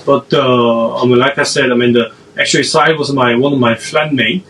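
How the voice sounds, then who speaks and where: A man from South Korea with a Korean accent, a podcast guest answering in a halting way and often restarting his phrases. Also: medium pitch, steady tone, a bit bright, far from the mic. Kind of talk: casual interview answers.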